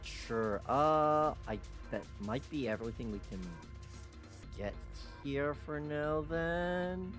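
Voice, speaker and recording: A man playing a game and commentating casually, swearing and getting excitable as he goes. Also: fairly high pitch, slow. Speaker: streamer, main player. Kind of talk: casual gameplay commentary and dramatic read-aloud. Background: background music.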